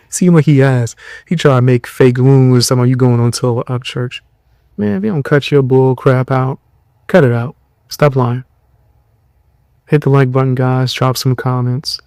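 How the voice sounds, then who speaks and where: A tired young man hosting a podcast, giving blunt, mocking commentary in loose slang with a low voice. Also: a little slow, long pauses, a little mellow, clear, resonant tone, no echo. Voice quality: lower voice. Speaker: podcast host. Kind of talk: casual commentary.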